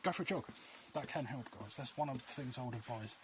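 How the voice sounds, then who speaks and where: Man rambling over clear outdoor noise. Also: mid-range voice, fast talker. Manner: rambling, often losing his thread. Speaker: vlog host. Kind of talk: casual advice chat to viewers while walking. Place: outdoors, walking along alleys. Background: outdoor ambient noise.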